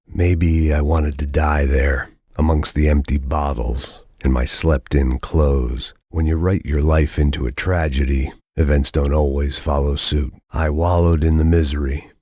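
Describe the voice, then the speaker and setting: A worn-out middle-aged ex-cop narrates a video game in a deadpan, bitter drawl. His voice sits very deep, and the studio sound is close and dry with no room around it. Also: no echo. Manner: deadpan, bitter, self-mocking.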